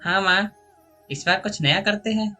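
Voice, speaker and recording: A man's voice: sits high, normal speed, pushed and bright, faint music behind, voice pushed up. A man voicing a sweet, hopeful young village girl in a moral story, speaking earnestly. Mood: wistful.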